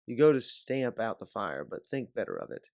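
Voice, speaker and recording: A man reading scripted second-person story narration as an audiobook narrator, in a dramatic, deliberate way. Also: fairly low pitch, very animated, creaky, darkish tone, dry recording, clean studio sound.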